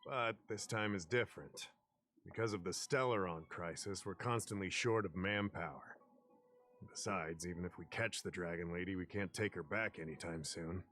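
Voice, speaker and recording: Polite game official, low pitch, measured, informative, fantasy setting. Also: male voice, a bit bright, a little hiss or hum. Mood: patient, explanatory. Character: courteous, knowledgeable male official of a fantasy realm.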